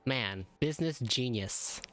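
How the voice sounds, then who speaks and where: A woman's voice: very deep voice, lively intonation, unhurried, faint music behind. A woman game streamer giving casual live reactions, with amused, gushing asides.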